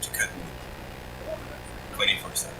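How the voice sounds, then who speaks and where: A man teases his friends with crude jokes over voice chat and laughs often. His mic sits far away in an echoey space, with loud noise behind him. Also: extremely low pitch, wildly swooping pitch, a little slow, gravelly. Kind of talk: casual voice chat banter.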